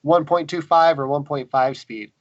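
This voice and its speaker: A man co-hosting a podcast in casual chat, agreeable and quick with short affirmations. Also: fairly high pitch, steady tone, lo-fi sound. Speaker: podcast co-host.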